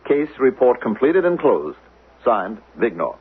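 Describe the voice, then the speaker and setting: An actor playing a controlled, officious investigator of a future state in a 1970s radio drama, speaking formally and precisely with a commanding manner. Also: male voice, medium pitch, sing-song, measured pace. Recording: narrow, lo-fi old radio recording, faint noise.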